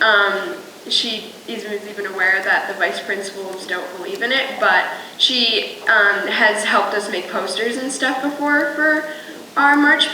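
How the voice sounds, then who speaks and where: A teenage girl on a student panel, answering thoughtfully with many pauses. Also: medium pitch, pushed and bright, a little hiss or hum. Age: teens. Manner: thoughtful, hesitant with ums. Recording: echoey room, mic from a distance.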